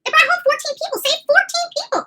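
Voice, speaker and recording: A woman's voice: very high voice, fast, sharp tone, slight background noise. A woman host delivering a casual commentary rant, indignant and emphatic, repeating phrases to drive points home. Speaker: YouTube channel host covering missing persons cases.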